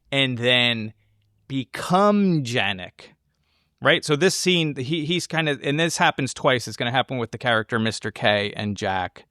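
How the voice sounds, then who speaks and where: Man talking film, halting and close-miked dry. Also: medium pitch, a bit bright, dry recording. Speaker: podcast co-host. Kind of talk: casual film discussion.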